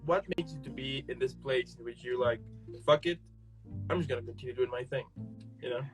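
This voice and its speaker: A man's voice: mid-range voice, brisk, faint music behind, low-quality recording. A man hosting an interview show, asking questions in an eager, upbeat way. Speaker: host. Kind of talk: casual interview chat.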